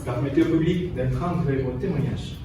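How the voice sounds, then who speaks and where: Man, game show host, presenting to contestants, distant heavily reverberant recording, clear background noise. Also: medium pitch, normal speed. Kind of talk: presenting a staged quiz show dramatisation. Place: large echoey room, far from the microphone. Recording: very echoey, distant, noisy room.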